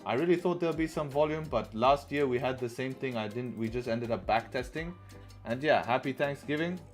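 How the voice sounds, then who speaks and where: A man's voice: mid-range voice, brisk, faint music behind. Young man streaming with a headset. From Dubai. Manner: relaxed, repeats phrases, says bro and man. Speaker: forex trading streamer. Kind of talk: casual live-stream commentary. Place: small room indoors.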